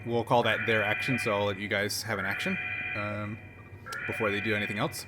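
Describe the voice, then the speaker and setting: A man's voice: lowish voice, little pitch movement, fairly quick, loud music behind the voice. A man running a tabletop roleplaying game, narrating the action and thinking aloud with some hesitation. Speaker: game master. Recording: clearly noisy background.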